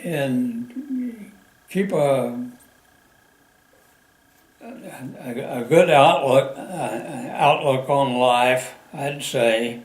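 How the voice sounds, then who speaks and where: A man of ninety-nine from the mountains of southwestern Virginia, recalling his life in a halting way with many pauses and fillers. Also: mid-range voice, expressive, echoey room, poor audio. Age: 90s. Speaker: interviewee. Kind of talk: oral history interview.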